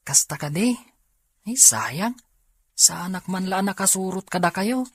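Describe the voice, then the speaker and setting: A woman's voice: mid-range voice, expressive, measured pace, breathy edge, dry recording. A woman with an Ilocano accent acting a curious youngster who questions mother, in a radio drama. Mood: curious, wistful.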